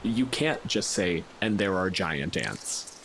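A man's voice: low-pitched, animated, slow pace, heavy background noise. A man hosting a podcast, talking casually and bluntly in informal gamer chat. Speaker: podcast host. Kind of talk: casual discussion of tabletop gaming.

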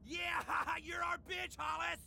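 A man voicing a hot-headed, boastful, foul-mouthed suburban dad in an animated comedy. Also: very high voice, fairly quick, full character voice. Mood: gloating. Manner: excitable, competitive, swearing.

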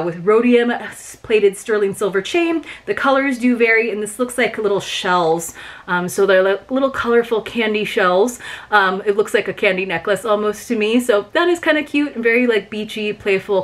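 A middle-aged woman chatting informally to viewers as she reviews items from a subscription box, sprinkling her talk with "like". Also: medium pitch. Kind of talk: casual product unboxing chat to viewers. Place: small furnished room at home, indoors.

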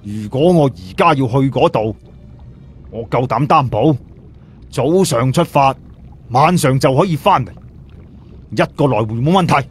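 A man's voice: mid-range voice, lively intonation, measured pace, long pauses, husky, soft background music. A man with a Cantonese accent voicing an elderly village chief, earnest, proud and plain-spoken as he reminisces. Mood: reminiscing, assured.

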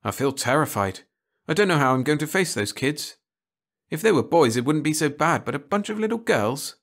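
A vain, hard-drinking film star, played by a man in an audiobook story. He is self-pitying and plaintive, his pitch lifted. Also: big pitch swings, moderate pace, fairly bright tone. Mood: nervous.

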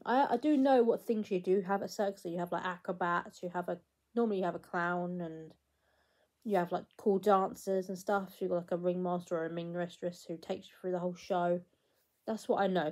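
A woman's voice: fairly low pitch, darkish tone. Chatty young woman vlogging on her phone. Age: 20s. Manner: chatty and rambling, many fillers and restarts. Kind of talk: casual vlog to camera. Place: small room at home, indoors. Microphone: phone held at arm's length.

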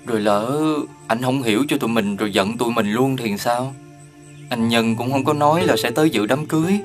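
An actor playing a humble, honest young younger brother in an animated fairy tale, speaking politely and earnestly. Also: male voice, medium pitch, a little fast, faint music behind, natural voice. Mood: worried.

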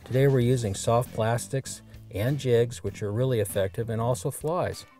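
A man giving calm, measured scripted narration for an outdoor show. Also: medium pitch, faint music behind.

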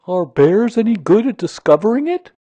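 High-pitched, eager little storybook bear. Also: male voice, very animated, moderate pace, warm tone, slightly rough, dry recording, lo-fi sound, heavily acted. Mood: curious, eager. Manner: eager, simple, bouncy delivery. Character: naive, eager, kindly male toy bear. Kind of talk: children's storybook character reading.

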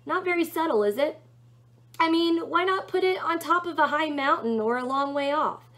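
A woman's voice: high-pitched, normal speed, a bit bright, pitched above the performer's own voice. A woman reading a storybook aloud, voicing a sardonic, casual male serpent demon who muses and questions. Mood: wry. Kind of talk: storybook read-aloud character voice.

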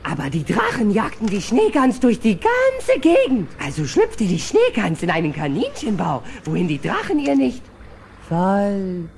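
Goofy sweet dim cartoon wildcat, voice swoops all over, noisy old kids tape. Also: male voice, high-pitched, wildly swooping pitch, measured pace, creaky, exaggerated voice. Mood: storytelling. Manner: playful, dim-witted, faltering when reading. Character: goofy, kindly male cartoon wildcat mechanic, childlike. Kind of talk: children's audio drama acting. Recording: noisy old cassette audio drama.

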